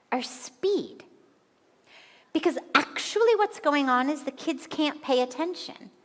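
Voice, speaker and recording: An American woman in her fifties, a spiritual teacher giving a slow, deliberate satsang talk with emphatic repetition. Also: sits high, in a large hall. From United States. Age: 50s.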